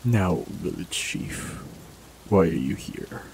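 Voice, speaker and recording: A man's voice: low voice, slow, creaky, heavily acted. A man voicing an ancient immortal male forest warlock in an audio roleplay, speaking with dry, teasing humor. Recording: steady rain noise behind voice. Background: rain.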